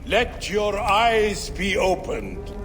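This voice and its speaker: An actor playing a solemn ancient ritualist, chanting a ceremonial invocation deliberately in a video game cutscene. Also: male voice, high voice. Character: solemn ancient Egyptian ritualist invoking a deity. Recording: echoing hall reverb under music.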